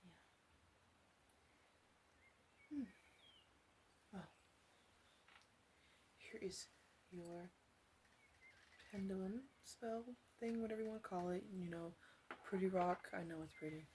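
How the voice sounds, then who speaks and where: A woman playing a flirty, teasing witch in an ASMR roleplay, speaking softly and haltingly with some whispering. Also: on the low side, slight background noise, close to an everyday voice. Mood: flirty, pleased. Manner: soft-spoken, teasing, halting, partly whispered. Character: flirty teasing female witch tending a tipsy guest.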